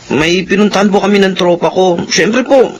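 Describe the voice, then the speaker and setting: Man playing hesitant Filipino teenage son, slightly high voice, stammering, apologetic, radio drama, faint background noise. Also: moderate pace, lightly acted. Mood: sheepish, apologetic.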